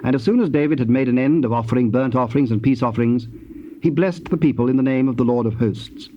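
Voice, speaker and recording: A man narrating dramatized scripture in a measured, formal storytelling manner. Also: medium pitch. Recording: dull tape with faint noise.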